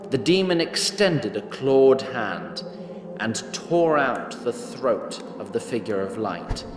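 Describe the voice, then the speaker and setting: Slow, dramatic male narrator in echoing space. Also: a little high. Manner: measured, dramatic, wry in places. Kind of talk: scripted fantasy story narration.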